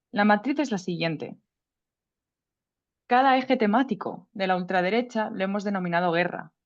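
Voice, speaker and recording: A woman presents at an online seminar in a measured, formal reading voice and slips in the odd casual aside. Her pitch sits in an ordinary middle range. The sound is muffled and lo-fi, like audio from a video call.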